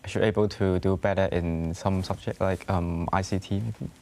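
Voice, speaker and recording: Low-voiced teenage man, halting English. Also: fairly even intonation, a little mellow. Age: late teens. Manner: hesitant, simple halting English. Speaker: interview guest, school graduate. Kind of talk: studio interview answers. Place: TV studio.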